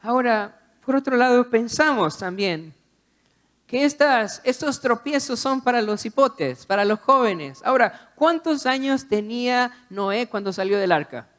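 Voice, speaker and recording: A man's voice: very high voice, expressive, unhurried, a bit bright. A man preaching a sermon, exhorting the listener with repeated phrases and rhetorical questions.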